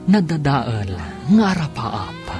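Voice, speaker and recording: A man's voice: medium pitch, big pitch swings, slow pace. A man with an Ilocano accent announcing and narrating a radio drama in a dramatic scripted style. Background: instrumental music.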